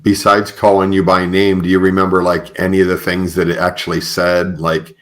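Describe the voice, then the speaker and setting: Low-voiced American man hosting casually. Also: completely flat delivery, moderate pace. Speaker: podcast host. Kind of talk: casual interview chat.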